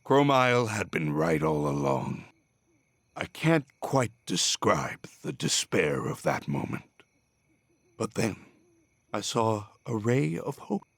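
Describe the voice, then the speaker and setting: An actor playing an embittered, menacing middle-aged villain in a video game, with a theatrical, taunting delivery. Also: male voice, lowish voice, big pitch swings, slow pace, vocal fry, a bit bright, no echo, full character voice. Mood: bitter, gloating. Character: embittered, menacing villain, a false father consumed by obsession. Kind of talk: dramatic video game voice acting.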